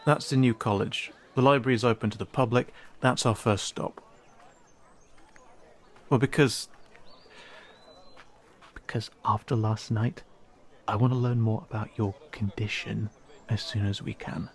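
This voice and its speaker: A man's voice: on the low side, fairly even intonation, measured pace, long pauses. A man playing a wary young male cat-person guide in a fantasy audio drama, speaking casually and hesitantly straight to the listener. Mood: guarded, urgent. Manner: hesitant, casual, conversational asides.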